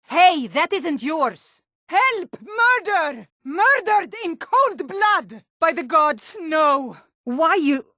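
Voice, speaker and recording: A stern Nord townswoman from a fantasy video game, with a fairly high voice and a dry, close sound. She is blunt and challenging, quick to suspicion and temper, and stresses her words firmly. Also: pushed and bright, no room sound, heavily acted. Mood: panicked, then hostile. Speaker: game character voice.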